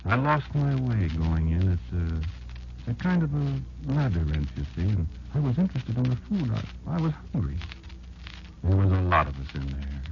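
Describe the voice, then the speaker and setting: A man playing a wary old insect elder in an old-time radio drama, telling a child grim survival tales in a confiding, folksy way with deliberate pauses. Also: lowish voice, big pitch swings, creaky, a little hiss or hum. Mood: reminiscing, uneasy. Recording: dull lo-fi old radio recording. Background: prominent music.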